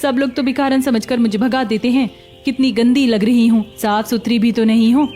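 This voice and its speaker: A woman voicing a hungry young beggar woman in a cartoon story, plaintive and quick to retort. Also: on the high side, steady tone, dark tone, soft background music, natural voice. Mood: sad, confused. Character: hungry young beggar woman, pleading yet sharp-tongued. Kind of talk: Hindi cartoon story acting.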